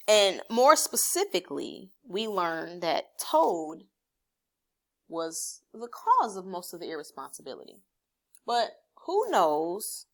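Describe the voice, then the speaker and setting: A woman teaching a read-aloud lesson to children, explaining simply and encouragingly, posing questions and then answering them herself. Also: medium pitch. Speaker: elementary reading teacher.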